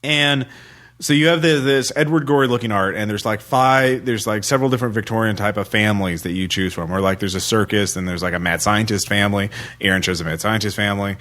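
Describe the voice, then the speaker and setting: A man hosting a podcast, chatting casually about games in a rambling, halting way. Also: lowish voice, fairly bright tone. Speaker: podcast host.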